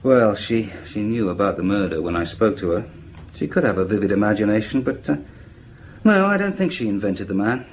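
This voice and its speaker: A man's voice: lowish voice, expressive, lightly acted, poor audio. Actor as a matter-of-fact male police inspector. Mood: considered. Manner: hesitant pauses, matter-of-fact reporting. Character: diligent, conversational police inspector briefing his chief. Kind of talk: radio drama acting. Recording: faint background noise.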